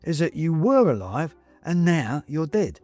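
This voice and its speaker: A man's voice: on the high side, very animated, a little slow, a little mellow, faint music behind, voice pushed up. A man voicing a fussy, chatty little male china ghost in a storybook, with genteel old-fashioned phrasing and needling politeness. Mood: chatty, matter-of-fact.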